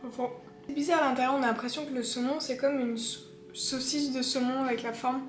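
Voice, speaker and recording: A woman's voice: sits high, fairly even intonation, fairly quick. A young woman casually chatting as she tastes food for her vlog, candid and full of fillers. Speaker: food-tasting vlogger. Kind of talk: casual taste-test chat. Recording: echoey room with faint background hum.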